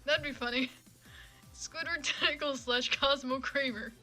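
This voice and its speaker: Female streamer, bright and highish, informal comic read-aloud, self-deprecating and sweary, faint music. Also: steady tone, measured pace, low-quality recording. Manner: sweary, self-mocking, giggly asides. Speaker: livestreamer reading fan fiction. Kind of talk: casual livestream chat and comic read-aloud.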